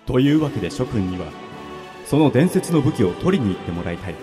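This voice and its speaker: A man's voice: medium pitch, big pitch swings, fairly quick, warm tone. A man playing a dignified, formal king in a comedic radio drama, with heavy reverb on the voice. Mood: solemn. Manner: formal, stately. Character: dignified male king addressing heroes by letter. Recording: reverberant, music behind.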